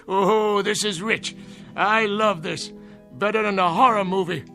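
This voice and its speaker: High, cackling old male heckler. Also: fairly even intonation, slow pace, gravelly, bright, forceful tone, soft background music, heavily acted. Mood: gleeful mocking. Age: older. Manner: folksy, mocking, laughs often. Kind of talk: radio play acting.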